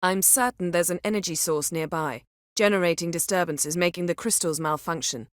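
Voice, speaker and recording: Low-voiced imperious sorceress, played by a woman. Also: fairly quick, dry recording, clean studio sound, close to an everyday voice. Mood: composed, dry. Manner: crisp, imperious, dryly sardonic. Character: proud, sharp-tongued sorceress, commanding, dry and guarded. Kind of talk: fantasy video game voice acting.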